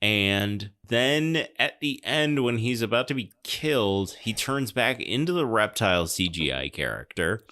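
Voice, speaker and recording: Slow-talking man with a lowish voice. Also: expressive, sharp tone. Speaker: podcast host. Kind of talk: casual film discussion.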